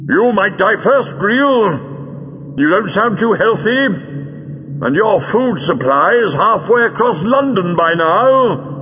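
A man voicing a bold, quick-witted hero in an audiobook, calling out with mocking defiance. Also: high-pitched, lively intonation, in a large hall, pitched above the performer's own voice. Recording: dull lo-fi audio with noise.

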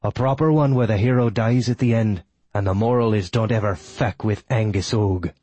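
A man's voice: on the low side, moderate pace, dark tone, husky, no echo. A man voicing an arrogant, wrathful god, a sneering, pompous and menacing villain in a fantasy audiobook. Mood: sneering rage.

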